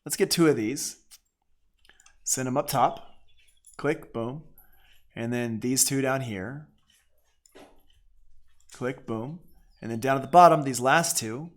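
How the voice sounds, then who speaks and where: A man's voice: medium pitch, takes it slowly, long pauses. An American man with a mid-range voice teaches an audio production tool in a casual way. He thinks aloud as he demonstrates, talking informally and dropping short exclamations as each step is done.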